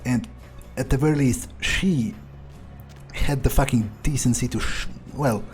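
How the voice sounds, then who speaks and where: A man roleplaying a jaded, ruthless, arrogant vampire in a game, speaking in a halting, dismissive, sighing way. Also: medium pitch, a little mellow, soft background music, natural voice. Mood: smug musing. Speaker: video game player roleplaying his character. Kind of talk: in-character improvised playthrough monologue.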